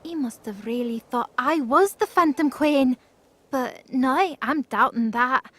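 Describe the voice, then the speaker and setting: A woman playing a gentle, earnest young goddess in an audio drama, speaking in halting, simple phrases. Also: sits high, no echo. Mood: soft, reflective. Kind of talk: acted audio drama dialogue.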